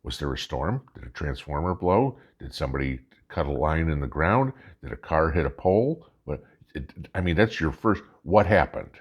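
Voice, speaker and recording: An American man hosting a vlog with casual political commentary, talking in a folksy, emphatic, halting way and posing rhetorical questions. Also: a bass voice, animated, slightly rough. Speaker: host of a preparedness vlog.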